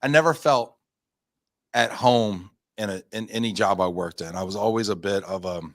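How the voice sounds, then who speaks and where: Chatty low-voiced man telling his story. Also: moderate pace, a bit bright, no echo, studio quality. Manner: chatty, self-deprecating, rambling storyteller. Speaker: guest, company founder. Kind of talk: casual interview talk.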